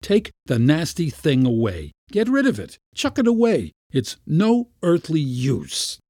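A man's voice: mid-range voice, very animated, unhurried, darkish tone, husky, no room sound, studio quality. A man voicing a pompous, short-tempered Latin schoolmaster in a storybook reading, scolding and giving orders. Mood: raging. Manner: scolding, commanding, ranting. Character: irascible Latin schoolmaster uncle, pompous and furious.

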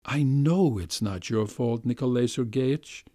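A man's voice: mid-range voice, a little slow, mellow, no echo, studio quality, pitched above the performer's own voice, natural voice. A man reading aloud voices an insulted young woman who speaks in a firm, clipped, hurt way while tearful.

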